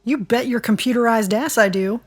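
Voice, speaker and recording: A woman's voice: a little high, brisk, close to an everyday voice. An actress playing a wry, wounded, stubborn middle-aged woman in an audio drama, with a sardonic, wisecracking way of talking. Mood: wry, bitter. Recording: music under the voice.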